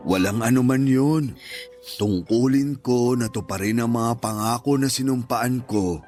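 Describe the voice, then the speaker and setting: A man's voice: medium pitch, unhurried, darkish tone, close to an everyday voice. Reassuring, devoted Filipino stepfather played by a man. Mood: tender, moved. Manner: earnest, fatherly, reassuring. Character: devoted, fatherly middle-aged stepfather, tender and reassuring. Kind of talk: radio drama acting in Tagalog. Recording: music bed behind the voice.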